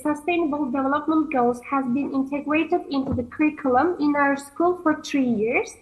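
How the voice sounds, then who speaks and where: A woman from Turkey, an English teacher giving careful, halting interview answers. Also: high-pitched, rough recording.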